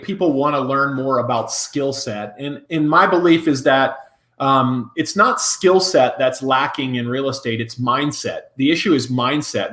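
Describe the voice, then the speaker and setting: Energetic guy hosting a podcast, slightly high voice, roomy echo. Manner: upbeat, energetic interviewer. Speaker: podcast host. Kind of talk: podcast interview.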